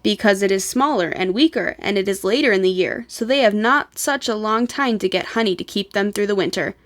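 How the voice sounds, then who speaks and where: A woman voicing a kindly old grandfather in a storybook, gentle and knowledgeable, speaking to children in an old-fashioned explanatory way. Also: medium pitch, a little fast, close to an everyday voice. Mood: explaining.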